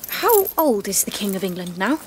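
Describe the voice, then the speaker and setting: A woman's voice: medium pitch, very animated, normal speed, a little hiss or hum, close to an everyday voice. A woman playing a devout young captive maiden, earnest and direct, in an audio drama. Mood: earnest questioning. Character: devout, plain-spoken young French peasant warrior maiden held prisoner.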